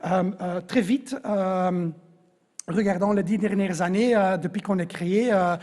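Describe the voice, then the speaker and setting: Halting Flemish man in an echoey hall. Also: sits high, steady tone, darkish tone. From Belgium. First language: Dutch. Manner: halting, with constant hesitations and restarts. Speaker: conference speaker. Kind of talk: conference talk. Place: large conference venue.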